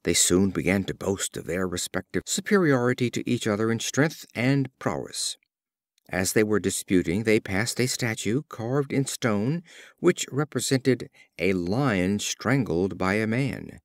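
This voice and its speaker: A man reading scripted fables as an audiobook narrator, with an expressive storyteller's delivery. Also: lowish voice, unhurried, no echo, clean studio sound. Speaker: audiobook reader.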